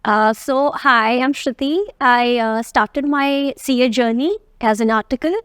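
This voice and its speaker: A woman's voice: on the high side, measured pace, a bit bright, clean tone, no echo, clean studio sound. A woman from Mumbai with an Indian accent, speaking as a professional guest on a panel interview. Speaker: guest, tax advisory manager.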